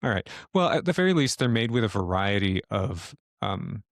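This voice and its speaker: A man narrating a tabletop horror game as game master, improvising in a halting way as he thinks aloud. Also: fairly low pitch, very animated, dry recording, studio quality.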